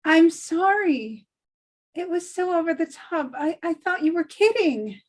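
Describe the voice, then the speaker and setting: Very high-voiced earnest young woman, acted by a woman. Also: lively intonation, measured pace. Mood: apologetic. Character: earnest, practical good-girl young woman, sheepish. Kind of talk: table read of a TV script.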